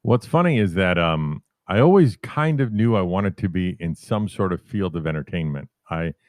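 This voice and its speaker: A man in his fifties from New York City tells career stories in a low, deep voice. He wanders through anecdotes, correcting himself as he goes. The sound is close and dry, with no room around it. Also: animated, moderate pace, darkish tone, dry recording. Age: 50s. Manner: rambling, self-correcting anecdotes. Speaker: podcast guest. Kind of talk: casual storytelling interview.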